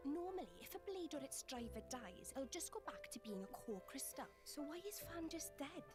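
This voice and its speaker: A woman voicing a lively, teasing young female companion in a video game. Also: high voice, moderate pace. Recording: game dialogue under loud music. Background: loud game music.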